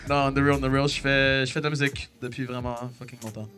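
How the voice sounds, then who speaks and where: A man's voice: medium pitch, flat delivery, fast talker, fairly bright tone, faint music behind. A man in his twenties from Venezuela, a rapper and producer, chatting in a laid-back, joking way with French and English slang. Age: 20s. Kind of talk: casual podcast chat.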